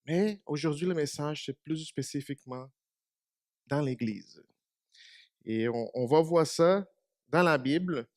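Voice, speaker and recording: A man's voice: on the high side, measured pace, pauses often, darkish tone, no room sound, studio quality. A man whose first language is Portuguese, giving a short church meditation in a small congregation and asking them questions. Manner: conversational, asks the congregation questions. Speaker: preacher.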